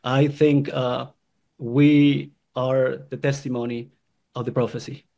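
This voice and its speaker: A man from Guatemala telling his own story in an earnest, halting way, often restarting his sentences. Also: medium pitch, level tone, vocal fry, dark tone. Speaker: convert sharing his story. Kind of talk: personal testimony.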